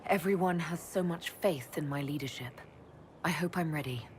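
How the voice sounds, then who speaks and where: An actress playing a composed, direct heroine who leads an army in a fantasy video game. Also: female voice, deep voice, normal speed, a little hiss or hum, natural voice. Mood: concerned, sincere. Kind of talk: dramatic video game dialogue.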